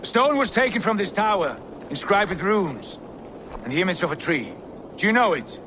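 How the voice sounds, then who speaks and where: A man's voice: high voice, big pitch swings, normal speed, noisy background. A man playing a proud, driven, impatient Viking leader in a video game, declaiming. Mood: commanding. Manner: declamatory, commanding. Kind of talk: dramatic video game acting. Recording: dramatic music behind the voice.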